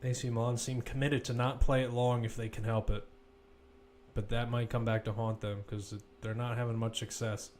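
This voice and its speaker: A man giving live play-by-play football commentary as a commentator, measured but lifting when attacks build. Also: mid-range voice, little pitch movement, darkish tone, slight background noise.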